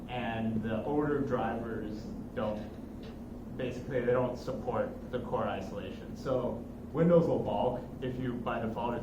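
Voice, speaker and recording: A man's voice: mid-range voice, lo-fi sound. A man speaking casually about technical matters like an instructor, repeating and rephrasing himself as he goes. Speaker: course instructor. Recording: noisy echoey room, far from mic.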